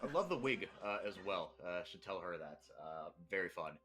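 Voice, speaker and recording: A Brazilian-born man casting esports play-by-play from a broadcast booth, excitable and quick to shout through big plays. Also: deep voice, over music. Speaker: play-by-play caster.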